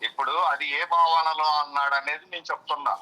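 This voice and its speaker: A man's voice: a little high, level tone, fast talker, crowd noise, rough recording. A man calling in by phone to argue, defensive and repetitive, often cutting in to explain himself. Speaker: phone-in caller, a social media follower defending someone. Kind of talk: argumentative phone conversation. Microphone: telephone call.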